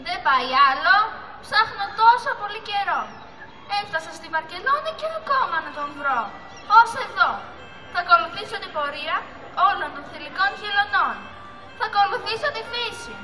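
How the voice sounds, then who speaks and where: Teen girl doing anxious young sea turtle, really high voice, earnest puppet show acting, music behind. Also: animated, sharp tone, some chatter behind, exaggerated voice. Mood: weary, lonely. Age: teenager. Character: young female sea turtle, anxious, loyal, determined sister. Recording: echoey hall, music and audience noise.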